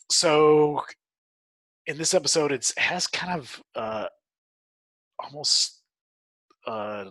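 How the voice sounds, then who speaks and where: Guy cohost on a podcast, bright crisp-edged voice, dry close sound, casual n kinda halting. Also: medium pitch, no echo, rough recording. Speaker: podcast co-host.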